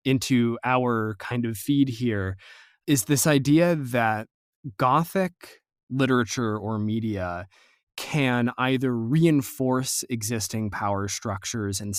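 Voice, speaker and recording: An American-accented man with a mid-pitched voice hosts an interview podcast. He talks conversationally as the interviewer. The recording is very dry, free of room echo. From United States.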